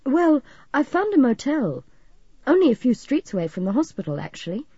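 A woman's voice: sits high, big pitch swings, moderate pace, dark tone, slightly rough, dry recording, muffled recording, voice pushed up, heavily acted. A woman voicing a worried, polite young man in an audiobook, with a hesitant, earnest manner.

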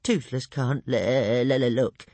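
A man's voice: medium pitch, slow, darkish tone, no echo, voice pushed up. A man voicing a small, nervous, bossy pet dragon in a storybook, stammering, shrieky and whiny. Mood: moaning.

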